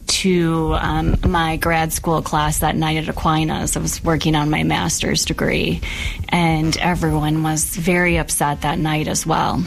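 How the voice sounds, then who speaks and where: A woman sharing a personal memory in a recorded clip, reflective and halting. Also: low voice.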